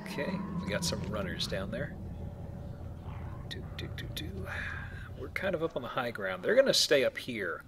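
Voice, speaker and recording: A man's voice: mid-range voice, very animated, normal speed, long pauses, noisy background. A man hosting a let's play, explaining things casually with a joking manner. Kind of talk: casual game commentary.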